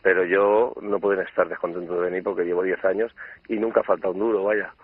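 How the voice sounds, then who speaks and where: A man's voice: deep voice, little pitch movement, fairly quick, husky. Man on a tinny phone line. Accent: Spain Spanish. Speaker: phone customer, prank call target. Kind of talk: spontaneous phone conversation.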